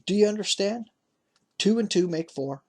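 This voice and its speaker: A man voicing a shrewd, excitable old fisherman father who cries out in a husky voice. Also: moderate pace, full character voice. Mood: bossy. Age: older. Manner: shouting eagerly, cries out high.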